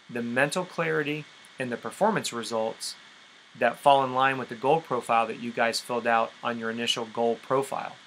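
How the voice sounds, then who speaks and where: A man's voice: medium pitch, pushed and bright, slight background noise, poor audio. A man giving an instructional coaching talk as a sports coach, direct and emphatic, explaining things with rhetorical questions. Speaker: endurance sports coach leading a conference call.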